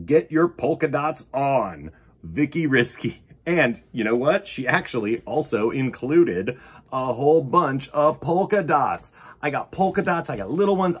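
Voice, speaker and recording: A man's voice: medium pitch, a little hiss or hum. A man hosting a children's storytime, talking straight to young viewers with enthusiastic, emphatic delivery and chatty asides. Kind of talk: animated picture-book reading with chatty asides.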